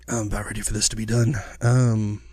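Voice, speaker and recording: A man hosting a casual gameplay video, talking in a rambling, relaxed way with lots of fillers while getting over an illness. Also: on the low side, husky, no room sound. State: recovering from illness, sniffly, clearing his throat. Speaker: gaming channel host. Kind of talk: casual let's-play commentary.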